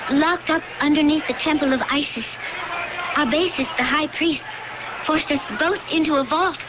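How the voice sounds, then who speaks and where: Woman playing humble pleading slave girl, really high voice, noisy muffled radio play. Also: moderate pace, lightly acted. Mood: earnest. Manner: deferential, earnest. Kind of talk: radio drama acting. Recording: dull, noisy old radio broadcast.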